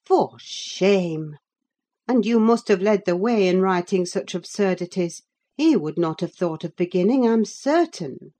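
A woman's voice: medium pitch, animated, measured pace, warm tone. Woman playing a stern, scornful servant woman. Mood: severe. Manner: grave, sarcastic, scolding. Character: stern, scornful woman servant scolding her young mistress. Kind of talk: audiobook character acting.

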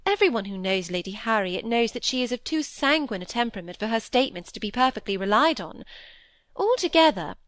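A woman's voice: on the high side, a bit bright, dry recording. A woman playing a gushing, self-pitying stepmother. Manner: affected, gushing, fluttery. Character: fussy, self-pitying middle-aged stepmother. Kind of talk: audiobook character reading.

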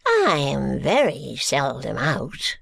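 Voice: medium pitch, huge pitch swings, vocal fry, a bit bright, husky, dry recording, studio quality, full character voice. Grandfather Smallweed, wheezy fawning old man. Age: over 80. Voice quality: wheezy, panting, slow. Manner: fawning, ingratiating.

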